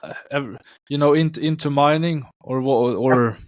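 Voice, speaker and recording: A man hosts the interview with a mid-pitched, ordinary voice. He keeps his questions short and direct. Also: normal speed. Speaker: host.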